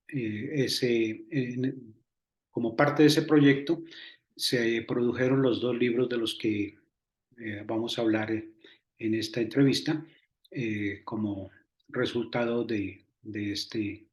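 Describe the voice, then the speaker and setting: Older man, measured, hesitant scientist guest. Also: medium pitch, echoey room. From Colombia. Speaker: entomologist guest. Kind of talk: interview answers.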